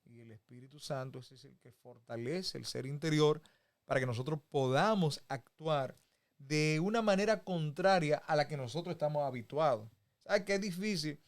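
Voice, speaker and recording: A man's voice: fairly high pitch, moderate pace. A man from the Republic, teaching a Bible lesson in a folksy conversational way. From Dominican Republic. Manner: folksy, with homey examples and chuckles. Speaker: preacher.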